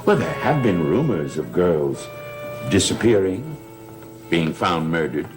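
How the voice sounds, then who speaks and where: An actor playing a courtly, patronizing gentleman with veiled menace in a 1960s television gothic soap. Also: low voice, big pitch swings, a little slow, over music. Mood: quietly foreboding. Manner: courtly, condescending.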